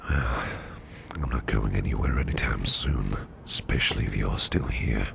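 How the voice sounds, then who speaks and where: Man playing very deep, gravelly, caring boss. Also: normal speed, background noise, heavily acted. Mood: caring, reassuring. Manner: soft, breathy, often half-whispered, soothing murmur. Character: caring, courteous businessman boss, protective and gently flirtatious. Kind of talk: ASMR personal attention roleplay.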